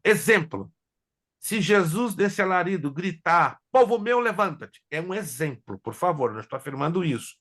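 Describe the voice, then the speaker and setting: A man teaching a theology class as a pastor, whose first languages are Hebrew and Spanish, lecturing in an animated way and joking with his students. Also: high-pitched, moderate pace. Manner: animated, jokes with students, asks if they follow.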